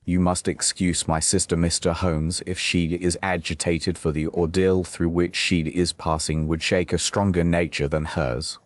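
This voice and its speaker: A man's voice: low voice, little pitch movement, measured pace, few pauses, no room sound. A man playing a studious, protective Victorian museum scholar in an audiobook drama, grave, formal and firm. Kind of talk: dramatised audiobook character acting.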